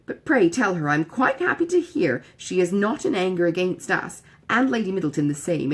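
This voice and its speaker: A woman voicing a silly, chattering young gentlewoman in an audiobook, gushing and exclamatory. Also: lowish voice, breathy edge, exaggerated voice. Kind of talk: audiobook character dialogue.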